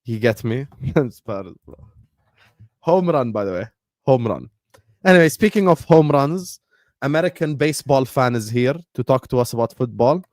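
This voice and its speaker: A man's voice: medium pitch, lively intonation, normal speed, a little mellow, no room sound. A man hosting a casual football chat, full of teasing banter and frequent laughs. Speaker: podcast host.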